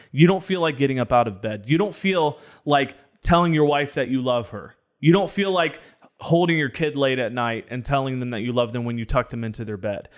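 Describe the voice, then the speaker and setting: A young man co-hosting a podcast, talking in an earnest, preachy, motivational way. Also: medium pitch. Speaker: podcast co-host. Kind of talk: casual podcast discussion.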